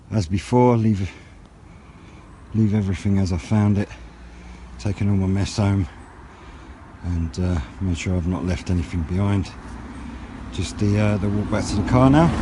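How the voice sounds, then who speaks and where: A British man chatting casually in a vlog, with a rambling, unhurried, low-key way of talking. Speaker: radio hobbyist vlogger. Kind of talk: casual outdoor vlog chat. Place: outdoors on wooded high ground by a road. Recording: outdoor noise with passing vehicles.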